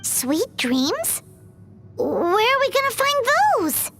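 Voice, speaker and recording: A plucky, curious little game companion, extremely high and squeaky. The delivery is exclamatory and swoops around like a cartoon, with faint music behind. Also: female voice, sing-song, takes it slowly, heavily acted. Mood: puzzled. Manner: exclamatory, speaks in third person. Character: tiny excitable childlike companion sprite, plucky and curious. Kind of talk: cartoonish video game voice acting.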